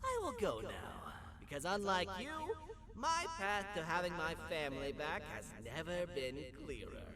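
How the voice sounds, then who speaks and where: A man playing a theatrical, self-obsessed jester creature in a video game, desperate for laughs and swinging between hushed brooding and shrieking. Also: high voice, sing-song, unhurried, noisy background. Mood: bitter, brooding. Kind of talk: video game villain monologue.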